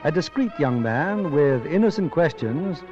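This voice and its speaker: A man's voice: fairly high pitch, expressive, a little slow, muffled recording. An American man hosting a 1940s radio show in a polished, formal, scripted announcing style. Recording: band-limited 1940s radio, music behind.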